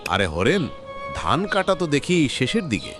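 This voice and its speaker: A man's voice: on the high side, huge pitch swings, unhurried. A man playing a kindly old village uncle in a cartoon, rustic, sighing and reassuring, with music behind him. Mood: resigned, consoling.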